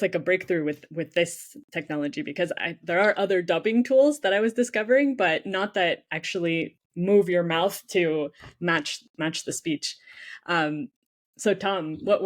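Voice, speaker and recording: A woman's voice: on the low side, moderate pace. A woman hosting a podcast in a casual, upbeat way, often saying "like" and nodding along with "mm-hmm.". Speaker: podcast host.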